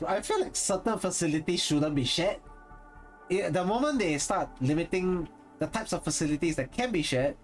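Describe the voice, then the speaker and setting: A man's voice: fairly high pitch, a little mellow. Rambling Singaporean man chatting on stream. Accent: Singaporean English. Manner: rambling, thinks aloud and corrects himself mid-sentence. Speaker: streamer and content creator. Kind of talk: casual live-stream chat with viewers.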